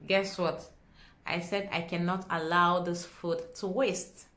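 A young woman with a Nigerian accent tells a story to camera in a dramatic, expressive way, acting out dialogue as she goes. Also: fairly low pitch, slow pace. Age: young adult. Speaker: vlogger. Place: small indoor room at home. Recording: echoey small room.

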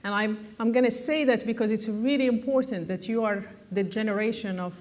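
A woman's voice: on the high side, a little fast, a little mellow. Woman, middle-aged, from Morocco, panel speaker, earnest, encouraging, restarts phrases, echoing hall. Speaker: panelist, biotech executive. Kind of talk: conference panel talk. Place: large hall, conference stage. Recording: long hall reverb.

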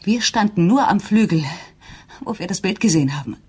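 Marlies, a breathy, distraught wife. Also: female voice, mid-range voice, lively intonation, darkish tone. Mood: accusing. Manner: halting, intense, often dropping to a whisper. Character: distraught wife Marlies, torn and quietly accusing. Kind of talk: German radio crime drama acting.

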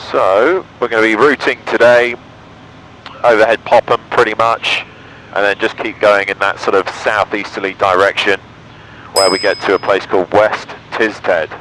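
English pilot talking over engine noise. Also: male voice, on the low side, vocal fry, bright, forceful tone, poor audio. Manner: clipped, procedural phrases with upbeat asides. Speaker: pilot and vlogger. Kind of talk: in-flight commentary and radio calls. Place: small microlight cockpit in flight. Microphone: aviation headset. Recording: engine noise over aviation headset audio. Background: aircraft engine drone.

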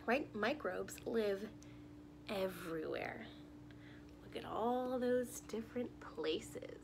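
A woman's voice: mid-range voice, takes it slowly, vocal fry, noisy background. A young woman reading a children's picture book aloud, expressively, addressing little listeners directly with questions. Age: young adult. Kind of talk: children's story read-aloud. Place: living room at home.

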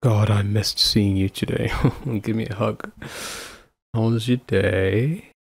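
A man playing a devoted, affectionate husband in an intimate audio roleplay, with a husky voice. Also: fairly low pitch, steady tone, slow, full character voice. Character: devoted, affectionate husband, playful then anxiously caring. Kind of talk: intimate ASMR boyfriend-style roleplay acting.

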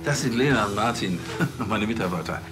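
An actor playing a brash, bullying police chief who talks in slang in a crime comedy. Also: male voice, lowish voice, fairly quick, over music, close to an everyday voice. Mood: breezy, showing off.

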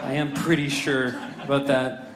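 A man's voice: medium pitch, little pitch movement, normal speed, echoey room. A man in his twenties performing a self-deprecating stand-up set on a club stage with a handheld mic, audience laughter between lines. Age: 20s. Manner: dry, self-deprecating, with lots of "you know" and "I don't know". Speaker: stand-up comedian. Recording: live club recording with audible crowd noise.

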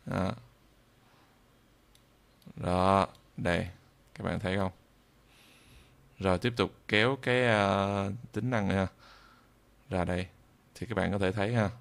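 Low-pitched man with a southern Vietnamese accent. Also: fairly even intonation, vocal fry, a bit bright. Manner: halting, repeats phrases as he demonstrates. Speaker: tutorial instructor. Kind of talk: screen-recorded software tutorial, improvised.

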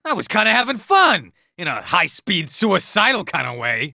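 A man voicing a nervous, boastful male robot soldier in a video game, shouting in panic and tossing out sarcastic asides. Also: high-pitched, wildly swooping pitch, moderate pace, vocal fry, dry recording, clean studio sound, heavily acted.

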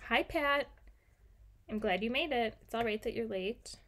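A woman's voice: a little high, lively intonation, a little slow, a bit bright, a little hiss or hum. A young woman teaching a live card-making class at her craft desk, chatting viewers through each step. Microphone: overhead camera on desk, mic off screen.

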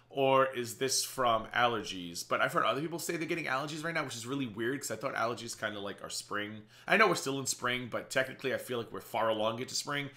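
A man in his thirties hosting a casual reaction video from a small home office, slightly raspy, chatty and sarcastic. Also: mid-range voice, pushed and bright. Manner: chatty and sarcastic, quick asides. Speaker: reaction channel host.

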